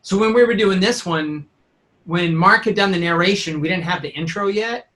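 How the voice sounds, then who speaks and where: A middle-aged man telling an anecdote in an informal, halting way during a casual chat. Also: high-pitched, fairly bright tone. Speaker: sound designer being interviewed.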